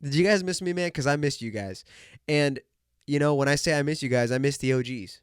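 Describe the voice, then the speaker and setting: A man's voice: fairly high pitch, fairly quick, smooth, no echo, clean studio sound. A young man hosting a solo podcast who talks in a hyped, joking way, calling his listeners "bro" and "dude", and sounds nasal and congested from a cold. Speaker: podcast host. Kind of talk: casual solo podcast rant.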